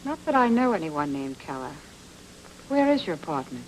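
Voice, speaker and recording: An actress playing a self-possessed, teasing noir femme fatale in a radio drama, with sly, clipped banter. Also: female voice, sits low, sing-song, normal speed, slight background noise, rough recording. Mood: cool, flirtatious.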